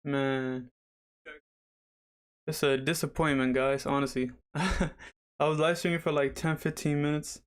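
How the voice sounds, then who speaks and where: Slightly raspy young man livestreaming. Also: on the high side, flat delivery, measured pace, long pauses. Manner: chatty, rambling, addresses viewers directly. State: slightly raspy after dental surgery. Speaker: livestream host. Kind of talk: casual livestream commentary.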